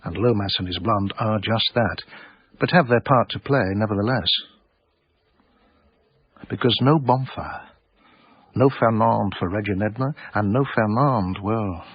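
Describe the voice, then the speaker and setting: An actor playing a wry, literary narrator, telling a radio drama in a measured, scripted way. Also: male voice, lowish voice, husky, dry recording, highs cut off. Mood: wry, musing.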